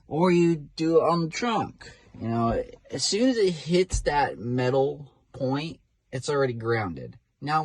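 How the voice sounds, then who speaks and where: A man's voice: medium pitch, dry recording. A man giving a casual, rambling show-and-tell about his gear, with lots of "you know" fillers and a slightly nervous, informal manner. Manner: admits to nervousness. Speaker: hobbyist radio reviewer.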